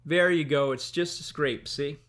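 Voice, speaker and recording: A woman's voice: deep voice, normal speed, natural voice. A woman voicing an easygoing, reassuring man with a casual manner, as a character in an audiobook. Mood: concerned, reassuring.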